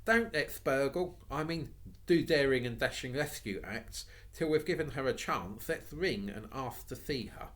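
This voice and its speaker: A man's voice: mid-range voice, moderate pace, fairly bright tone, voice pushed up, lightly acted. A man voicing an earnest, kind young girl who talks soothingly.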